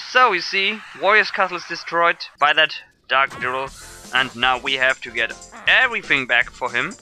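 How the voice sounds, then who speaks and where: A German-accented man gives loose let's-play commentary in a very bright, cutting voice. He rambles and hesitates, filling gaps with ums and uhs. Faint game music plays in the background. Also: mid-range voice. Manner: rambling, hesitant with many fillers. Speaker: let's player. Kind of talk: casual gameplay commentary.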